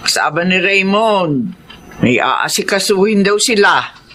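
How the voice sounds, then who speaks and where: Animated, bright-voiced peacemaking mother. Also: mid-range voice, slow pace. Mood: gentle, reassuring. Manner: emphatic, maternal. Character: mother of grown children, earnest peacemaker. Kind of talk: Filipino radio drama acting. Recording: clearly noisy background ambience.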